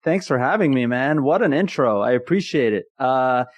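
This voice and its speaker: A man in his thirties from Iran, a comedian telling his story in a casual interview with jokey, animated energy. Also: medium pitch, normal speed, no echo. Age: 30s. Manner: jokey and animated, often laughing mid-sentence. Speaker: guest, actor and comedian.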